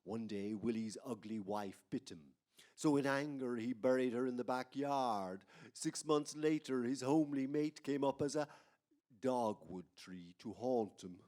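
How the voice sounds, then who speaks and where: A man playing a gleefully macabre horror radio host in an old-time style, with a theatrical, drawn-out, mock-sinister delivery. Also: medium pitch, a little mellow, close to an everyday voice.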